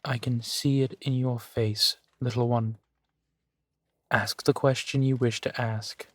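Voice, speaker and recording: A man playing a centuries-old vampire duke in an intimate roleplay audio, genteel, amused and doting, talking theatrically and savoring his pauses. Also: mid-range voice, fairly even intonation, no room sound. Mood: proud, reflective. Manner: unhurried, theatrical, savoring pauses with soft chuckles.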